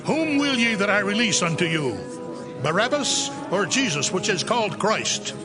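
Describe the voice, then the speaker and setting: A man's voice: high-pitched, very animated, normal speed. An actor playing Pilate, a male Roman governor, questioning in formal old-fashioned speech in a dramatized scripture reading with music behind. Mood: pleading. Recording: audio drama with music bed.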